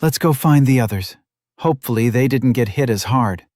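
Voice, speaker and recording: Calm young male druid leader, practical, voiced by the narrator. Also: mid-range voice, moderate pace, no room sound, studio quality, pitched above the performer's own voice, lightly acted.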